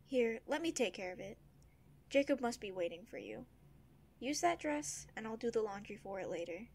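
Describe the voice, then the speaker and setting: A high-pitched, motherly palace servant, acted by a woman. She is protective and sassy, with emphatic, caring delivery in an audio drama. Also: animated, normal speed, darkish tone, a little hiss or hum.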